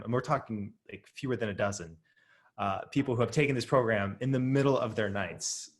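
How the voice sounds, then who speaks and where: A man hosting an interview over a video call, rambling and enthusiastic with lots of filler words. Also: mid-range voice. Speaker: host, training company president. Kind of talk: interview conversation.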